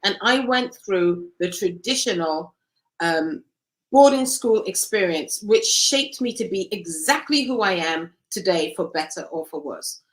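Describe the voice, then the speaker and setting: A woman's voice: medium pitch, lively intonation, unhurried, low-quality recording. A woman from Cameroon, an interview guest telling her story in an earnest, reflective way.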